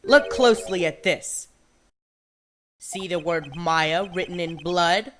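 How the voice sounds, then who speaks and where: A man's voice: high-pitched, takes it slowly, a bit bright. A young man playing an earnest, easily flustered defense lawyer in an emphatic video game fandub. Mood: confident, pressing. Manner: emphatic, theatrical.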